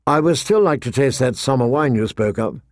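Gracious, proud young princess in a fantasy audiobook, read by a man, formal and measured, dry sound. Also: mid-range voice, lively intonation, dry recording, natural voice. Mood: gracious, composed.

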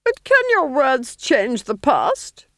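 A woman voicing an imperious, cruel Duchess in a storybook reading, with a shrill, haughty, biting delivery. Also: huge pitch swings, takes it slowly, bright, forceful tone, no room sound, clean studio sound, full character voice. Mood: intrigued. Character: imperious, cruel, menacing fat Duchess, domineering villain.

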